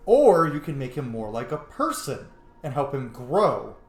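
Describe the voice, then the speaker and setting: A man's voice: medium pitch, sing-song, measured pace, a little mellow, echoey room. A man giving casual commentary on a game he plays, informal and sweary, thinking aloud. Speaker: gamer commentating on his playthrough.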